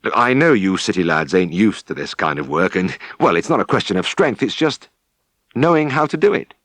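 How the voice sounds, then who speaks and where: A man's voice: sits low, very animated, brisk, a bit bright, no echo, clean studio sound, exaggerated voice. A man voicing a good-natured, plain-spoken working farmer in an audiobook. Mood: hearty encouragement.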